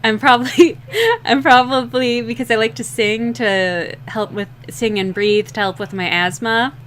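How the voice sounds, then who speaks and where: A young woman with a slightly rough, scratchy voice, rambling and laughing at herself in a casual chat while drawing, short on sleep. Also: on the high side, unhurried, a bit bright. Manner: rambling, giggly, self-deprecating. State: sleep-deprived. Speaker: digital artist streaming a drawing session.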